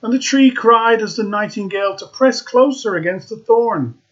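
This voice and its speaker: A man reading a fairy tale aloud, slow and expressive with dramatic emphasis. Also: high voice, lo-fi sound. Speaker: storyteller.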